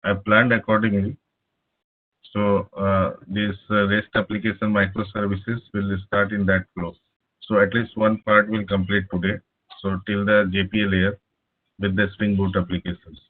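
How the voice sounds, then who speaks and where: A man teaching a live online programming class over a speakerphone, pausing often with "uh". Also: lowish voice, level tone. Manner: halting, many filler "uh"s. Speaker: programming instructor. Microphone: laptop speakerphone, no headset. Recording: tinny phone-like line.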